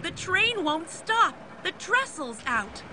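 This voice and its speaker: A woman's voice: extremely high pitch, sing-song, slow, very noisy, voice pushed up, exaggerated voice. A woman voicing adventurous dinosaurs from a children's storybook, with dramatic exclamations. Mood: alarmed. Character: excitable band of adventurous travelling cartoon dinosaurs.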